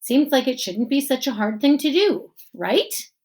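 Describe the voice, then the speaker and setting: A woman voicing a middle-aged male school principal giving an earnest graduation speech in a children's novel, measured and emphatic with deliberate pauses. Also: high-pitched, animated, darkish tone, voice pushed up. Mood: earnest, reflective. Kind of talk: children's novel read-aloud.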